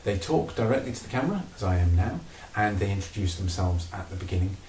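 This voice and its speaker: Low-voiced man introducing a video. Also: expressive, quick pace, a little mellow, slight background noise. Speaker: theatre programme organiser introducing a video. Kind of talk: scripted introduction to camera. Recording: echoey room, mic at a distance.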